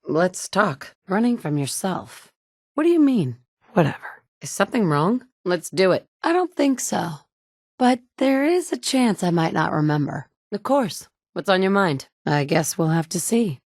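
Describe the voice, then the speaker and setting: A woman voicing the female player character of a game, a blunt adult wasteland survivor with a brisk, dry, often curt way of talking. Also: on the low side, a little mellow, dry recording. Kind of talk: game dialogue lines.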